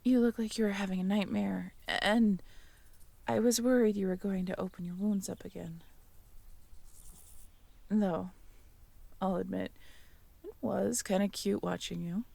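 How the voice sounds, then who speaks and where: A young woman in an ASMR audio roleplay, talking to the listener as a girlfriend, playful and teasing one moment and soft and caring the next. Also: mid-range voice, steady tone, measured pace, vocal fry, long pauses, no echo.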